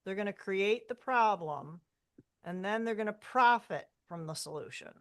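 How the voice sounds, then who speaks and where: A woman's voice: mid-range voice, animated, normal speed. A woman co-hosting a podcast in casual chat, speaking in a matter-of-fact, emphatic way. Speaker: co-host.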